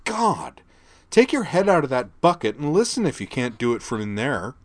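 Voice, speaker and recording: A man voicing a loud, scornful teenage girl tourist who snaps sarcastically at officers. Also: big pitch swings, normal speed. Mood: scornful, bratty. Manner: snappish, sarcastic, shrill.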